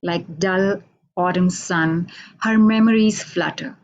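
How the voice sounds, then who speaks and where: Slow Indian-accented woman reading poetry. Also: lowish voice, lo-fi sound, a little hiss or hum. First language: Hindi. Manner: unhurried, halting, with many fillers. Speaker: poet. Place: radio station office.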